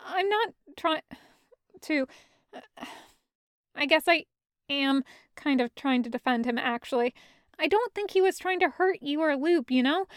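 A woman voicing an awkward, mild-mannered man in an audio story, speaking in a halting, apologetic way. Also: high voice, expressive, no echo, clean studio sound. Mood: awkwardly defensive. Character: awkward, mild-mannered male friend, conciliatory superhero.

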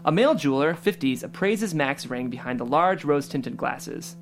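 A man's voice: fairly high pitch, expressive, moderate pace, background noise. A man who hosts a table read and reads out the stage directions. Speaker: stage directions reader and host. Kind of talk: scripted narration.

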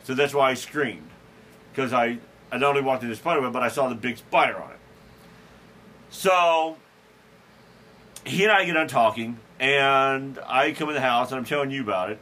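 A man hosts a casual podcast and tells a long, self-deprecating story about himself. His voice is bright and forward at an average pitch. He rambles, restarts his sentences and chuckles as he goes. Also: moderate pace, long pauses. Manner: frequent restarts and fillers. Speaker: podcast host.

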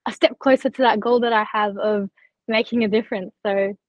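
Young aussie woman guest, chatty and earnest, bit higher voice, kinda dull lo-fi sound. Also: steady tone, dry recording. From Turkey. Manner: earnest, chatty, many likes and you-knows, quick laughs. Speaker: scholarship recipient guest. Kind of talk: candid podcast interview. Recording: slightly dull lo-fi audio.

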